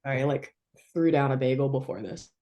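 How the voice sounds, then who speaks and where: A woman with a notably low voice speaks as an interview guest and historian. She talks in a reflective, halting way, pausing often to search for words. She is from the United States. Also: fairly even intonation. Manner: thoughtful, halting, many fillers and soft laughs.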